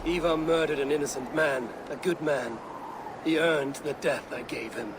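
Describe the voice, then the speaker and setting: Stern Viking warrior, loyal and blunt, male actor, slightly raised pitch, terse video game delivery over clear background noise. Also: moderate pace, close to an everyday voice. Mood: grave, unrepentant. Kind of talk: dramatic game dialogue. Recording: outdoor game ambience behind the voice.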